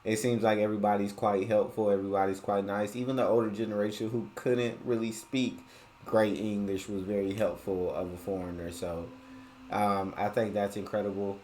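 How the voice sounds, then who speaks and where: A man's voice: fairly low pitch, little pitch movement, normal speed, warm tone, a little hiss or hum, low-quality recording. An American man giving casual, colloquial commentary as a reaction host, repeating and rephrasing his points. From United States.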